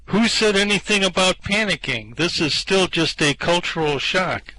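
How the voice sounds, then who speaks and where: A man's voice: high voice, expressive, moderate pace, bright, forceful tone, no echo, low-quality recording. A man playing a flustered, indignant ordinary earthman who complains plaintively in a comic sci-fi radio play. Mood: aggrieved, plaintive. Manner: plaintive, aggrieved, protesting.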